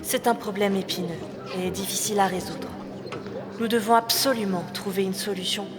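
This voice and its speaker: An actress playing a gentle, modest young elf princess, earnest and polite, in a fantasy audio drama. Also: female voice, medium pitch, steady tone, moderate pace, a loud crowd, natural voice.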